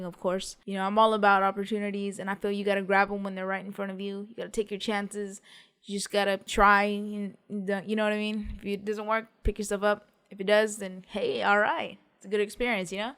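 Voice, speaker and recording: Young woman, smooth clear voice, relaxed upbeat chat vlog, fan questions. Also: medium pitch, fairly quick. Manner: chatty and upbeat, reads out questions then answers. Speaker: singer answering fan questions. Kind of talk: casual Q&A chat.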